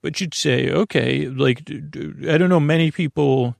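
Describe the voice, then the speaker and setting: A man hosting a bedtime podcast with a creaky voice, meandering hesitantly through a soothing monologue and often losing his thread. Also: on the high side, normal speed, no room sound. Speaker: host of a bedtime story podcast to help listeners sleep.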